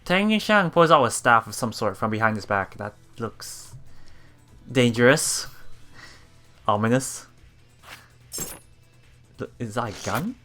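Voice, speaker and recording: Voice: medium pitch, lively intonation, unhurried, long pauses, sharp tone, faint music behind. A let's play streamer reading game text aloud with casual reactions, often stumbling over words and repeating them.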